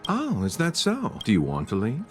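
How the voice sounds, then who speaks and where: Lively-pitched easygoing merchant. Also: male voice, moderate pace, faint music behind. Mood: affable, curious. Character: easygoing male traveling merchant. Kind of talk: English video game voice acting.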